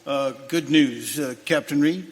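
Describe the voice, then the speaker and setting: An actor playing a shifty scout in a western radio drama, with a husky voice and a halting manner. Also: male voice, a little high. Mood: hesitant, shifty. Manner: hesitant, halting. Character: shifty male scout telling lies. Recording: long hall reverb.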